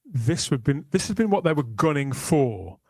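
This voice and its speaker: A man with a fairly high voice hosts a panel discussion podcast. He talks in a measured, reflective flow with deliberate pauses. The sound is dry, with no room around it. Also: very animated, no echo. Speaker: podcast host.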